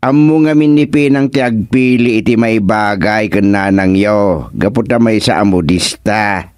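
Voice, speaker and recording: A man with an Ilocano accent playing a comical, excitable older village husband in a radio comedy-drama, full of exclamations and chuckles. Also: on the low side, clean tone, no echo, clean studio sound, close to an everyday voice. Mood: chatty. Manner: exclamatory, chuckling, drawn-out reactions.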